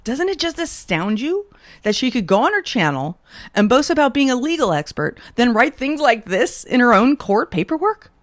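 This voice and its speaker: A woman host giving scripted true-crime commentary in a measured, pointed way, leaning on rhetorical questions. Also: a little high, very animated, no echo, clean studio sound, soft background music.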